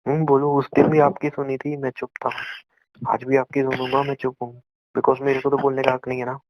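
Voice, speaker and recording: Young indian guy on a muffled phone call, flat pitch, hurt and reproachful, nearly crying. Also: fairly quick, no room sound. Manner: wounded, reproachful, repeats himself. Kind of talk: emotional phone conversation with an ex.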